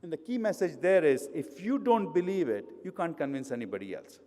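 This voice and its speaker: A middle-aged man from India, with an Indian accent, speaks at a hotel conference. His voice sits somewhat high for a man. He talks loosely, with frequent "uh"s and restarts, and long reverb from the hall hangs behind his words. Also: very animated, fairly quick, mellow. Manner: conversational, digressive, many fillers and restarts. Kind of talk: conference presentation.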